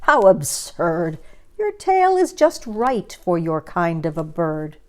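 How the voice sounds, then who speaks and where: A woman's voice: lowish voice, sing-song, takes it slowly. A woman voicing a stern, dismissive older uncle bird who is a doctor in a storybook, scolding and tutting. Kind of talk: storybook character voice.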